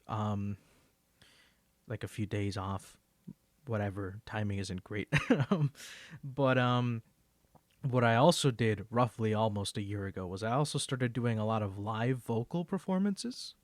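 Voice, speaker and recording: A man's voice: on the low side, a little slow, no room sound. A man chatting casually in a rambling, hesitant, self-deprecating way, as a creator introducing his own audio. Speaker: ASMR creator and amateur singer.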